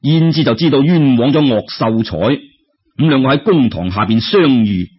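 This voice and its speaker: Husky Cantonese man telling a folk tale. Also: mid-range voice, expressive, normal speed, muffled recording. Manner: colloquial, addresses listeners directly. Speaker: storyteller. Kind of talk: traditional Cantonese storytelling, colloquial audiobook narration.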